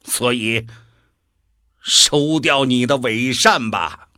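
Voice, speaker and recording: An actor playing a sardonic, world-weary devil in an audio drama, musing deliberately with sighs. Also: male voice, medium pitch, sharp tone, no room sound, clean studio sound. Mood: cold, admonishing.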